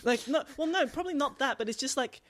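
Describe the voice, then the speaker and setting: A man co-hosting a podcast, talking through books casually in an animated, emphatic way and often repeating words. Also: extremely high pitch, fast talker, no echo. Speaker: podcast co-host. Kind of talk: casual book discussion.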